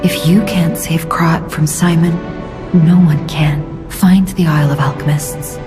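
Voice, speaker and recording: An actress playing a gentle, solemn female guide in a video game, speaking gravely and sometimes in a hush. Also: deep voice, steady tone, over music. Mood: solemn, pleading. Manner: grave, measured, hushed at times. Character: solemn, gentle guiding woman who bears witness. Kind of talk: dramatic video game cutscene dialogue.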